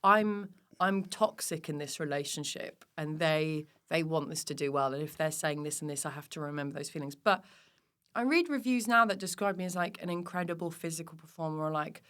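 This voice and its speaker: A woman comedian chatting casually as a podcast guest, animated, self-deprecating and happy to swear. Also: deep voice, fairly quick, clear, resonant tone. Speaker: guest comedian and physical performer.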